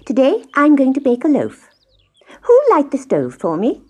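Storybook hen, female performer: high, very animated, bossy, chirpy busybody urging others to work. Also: normal speed. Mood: brisk, urging. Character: busy, bossy, hardworking little red hen. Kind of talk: children's storybook character voice.

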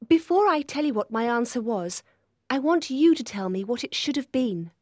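A woman voicing a spoiled, unhappy young woman who talks softly and pleads for advice in an audiobook reading. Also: fairly high pitch, animated, normal speed, dry recording, clean studio sound. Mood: pleading. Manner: soft, pleading, self-absorbed. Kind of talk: audiobook character voice.

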